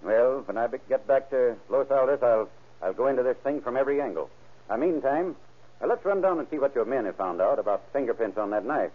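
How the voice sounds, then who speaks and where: An actor playing a probing, deliberate county sheriff in an old-time radio crime drama. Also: male voice, medium pitch, expressive, slight background noise, lightly acted. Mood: thoughtful, businesslike. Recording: narrow, tinny vintage radio recording.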